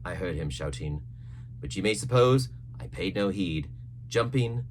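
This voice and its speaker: A man reading an adventure novel aloud as scripted, expressive storybook narration. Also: sits low, unhurried, a little hiss or hum. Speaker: audiobook narrator.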